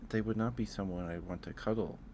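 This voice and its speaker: A man's voice: on the low side, fast, dark tone, a little hiss or hum. A man chatting casually with livestream viewers as host, musing aloud in an informal, hesitant way.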